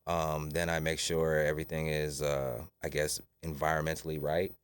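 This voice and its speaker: A man's voice: very deep voice, completely flat delivery, normal speed, clean tone. A man in his forties from Long Island, a cannabis grower talking casually as a podcast guest. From Shinnecock, Long Island. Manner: laid-back, easy laughs, says "you know what I'm saying".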